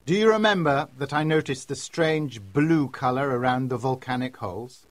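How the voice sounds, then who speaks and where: A man's voice: mid-range voice, normal speed, no room sound, natural voice. A man voicing a confident, genial aristocrat adventurer in an audiobook, in an assured, confiding manner. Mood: confiding, pleased. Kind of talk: audiobook character voice.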